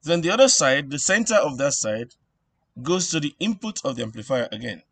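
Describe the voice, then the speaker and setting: A man giving an informal electronics tutorial, thinking aloud in a halting way and repeating phrases as he works things out. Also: a little high, big pitch swings, a bit bright, no echo. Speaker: instructor.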